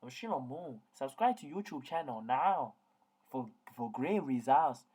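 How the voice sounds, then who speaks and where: A man's voice: medium pitch, expressive, measured pace, darkish tone, breathy edge. A man voicing a joking made-up man who promotes a channel, playful and repetitive.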